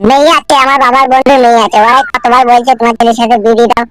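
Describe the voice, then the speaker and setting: Woman voicing a high, rapid-fire cheeky village lad. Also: little pitch movement, fairly bright tone, smooth, no echo, faint music behind, natural voice. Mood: eager. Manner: crude, blunt banter. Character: cheeky, naive young village bachelor in cartoon comedy. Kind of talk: cartoon comedy dialogue.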